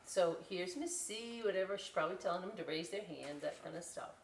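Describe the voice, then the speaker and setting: A woman's voice: fairly low pitch, expressive, a little fast, vocal fry, reverberant. A woman hosting a story time, reading aloud in a casual, playful way with chatty asides for children. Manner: playful, informal, often checks in with "right?". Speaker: library story time host. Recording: distant mic, faint background noise.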